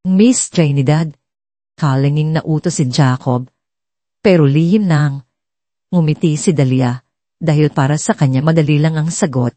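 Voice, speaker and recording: A woman's voice: low voice, measured pace, pauses often, dark tone, no room sound. A woman with a Filipino accent reading a scripted dramatic story as a storyteller.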